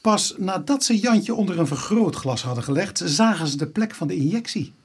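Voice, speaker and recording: A weary Dutch police inspector in a radio play. He is cautious and authoritative, with strongly moving intonation and a slightly raised pitch. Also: animated, moderate pace, breathy edge, heavily acted. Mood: explanatory. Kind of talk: Dutch radio drama acting.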